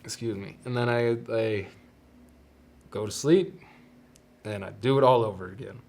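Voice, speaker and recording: A man's voice: lowish voice, unhurried, a little mellow. A young man hosting his own podcast in casual solo chat, talking with a stuffy, congested nose in a rambling, self-deprecating way. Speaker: podcast host.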